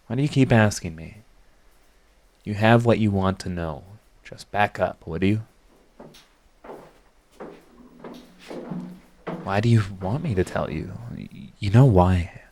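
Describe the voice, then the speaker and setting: A man acting as a low-voiced, secretly devoted villain. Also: normal speed, darkish tone. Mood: flustered, guarded. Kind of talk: dramatic ASMR audio roleplay.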